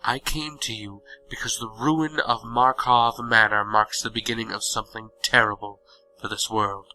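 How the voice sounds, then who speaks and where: Actor as ancient male vampire lord, very forceful sharp tone, lowish, grave, proud, fantasy audio drama. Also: steady tone, faint music behind, rough recording. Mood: stern, urgent. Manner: grave, controlled, deliberate.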